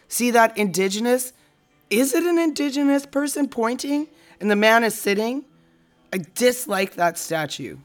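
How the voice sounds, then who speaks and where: A woman's voice: medium pitch, natural voice. A woman acting in an audio drama as an opinionated, plainspoken friend in casual conversation. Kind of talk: naturalistic audio drama dialogue.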